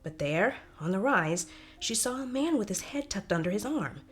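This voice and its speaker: A woman's voice: mid-range voice, expressive, normal speed. A woman narrating a folktale expressively, as in a storybook read-aloud. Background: soft music.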